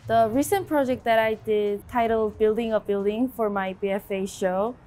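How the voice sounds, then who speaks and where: A woman art student answering interview questions in a measured way. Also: medium pitch, fairly even intonation, warm tone.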